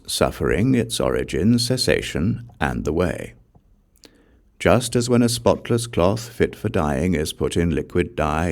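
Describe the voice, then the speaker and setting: A man reads Buddhist scripture as an audiobook narrator. His voice is very deep, with a gravelly fry, and his measured, solemn recitation swings noticeably up and down. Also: a little mellow.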